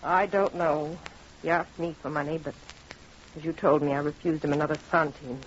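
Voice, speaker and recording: A woman's voice: sits low, fairly even intonation, normal speed, natural voice. An actress playing a worried, kindly middle-aged fisherman's wife in an earnest old-time radio drama style. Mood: subdued, resigned. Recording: dull, noisy vintage radio recording.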